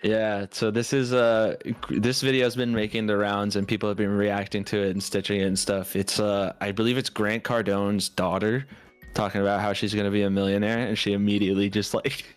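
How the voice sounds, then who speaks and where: Male co-host, casual and chuckling, lower voice, faint music behind. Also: little pitch movement, a little fast, clean tone. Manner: easygoing, chuckling. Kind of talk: casual chat.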